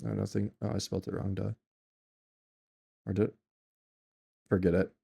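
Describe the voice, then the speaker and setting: Low gravelly man with a flat delivery. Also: normal speed. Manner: rambling, offhand, with many ums and self-corrections. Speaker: tutorial maker. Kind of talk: casual screen-recorded software tutorial.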